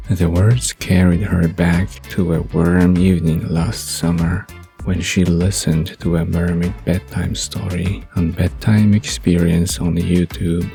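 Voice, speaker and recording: A man's voice: low voice, gravelly, mellow. A man narrating a scripted romantic bedtime story as the narrator of an audio drama.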